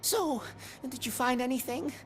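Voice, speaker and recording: A woman acts a cynical, defensive gas station keeper in a game cutscene. Her voice sits a little high and sounds sardonic, over a faint humming noise. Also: normal speed, natural voice. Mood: anxious. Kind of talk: video game cutscene acting.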